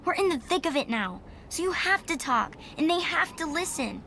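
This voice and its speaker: An actress playing a bold, impatient young daughter in a video game, sassy and urgent in manner. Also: female voice, high-pitched, very animated, moderate pace, bright, forceful tone, a little hiss or hum, full character voice. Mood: urging. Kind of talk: video game cutscene dialogue.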